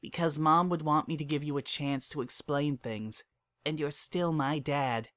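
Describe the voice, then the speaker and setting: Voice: mid-range voice, fairly even intonation, measured pace, fairly bright tone, voice pushed up, close to an everyday voice. A narrator voicing a young pony mare, a hurt but hopeful daughter, emotional and pleading, in a dramatic story reading. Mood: gentle, hurt.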